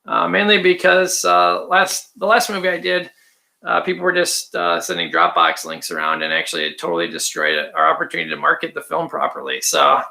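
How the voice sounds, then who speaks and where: A man with a raspy voice, hosting a casual livestream, chatty and rambling with frequent ums and throat clearing. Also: on the high side, big pitch swings, a little fast, sharp tone. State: raspy from allergies or stress. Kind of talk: casual livestream chat reading viewer comments.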